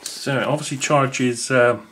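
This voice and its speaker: A man's voice: medium pitch, fairly even intonation, unhurried, fairly bright tone, a little hiss or hum. Musing man unboxing gadgets. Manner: musing, thinking aloud with many fillers. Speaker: unboxing video host. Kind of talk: casual unboxing commentary. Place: indoors at a wooden table.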